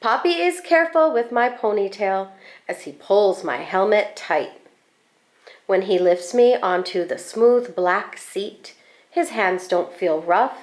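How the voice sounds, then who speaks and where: A woman reading a children's picture book aloud in an expressive, deliberate storytelling manner. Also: medium pitch. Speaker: school staff storybook reader.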